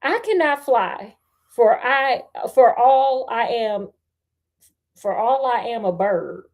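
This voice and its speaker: A woman voicing a proud, confident male ostrich giving deliberate battle orders in a storybook. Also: fairly high pitch, lively intonation, darkish tone. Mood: commanding.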